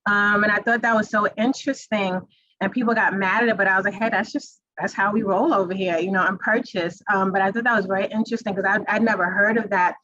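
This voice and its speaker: A woman's voice: medium pitch, steady tone, fast talker, sharp tone, no room sound. Woman panelist rambling casually, muffled dry sound. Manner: chatty, many fillers like um and you know. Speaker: alumni panelist. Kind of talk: panel discussion. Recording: dull dry lo-fi audio.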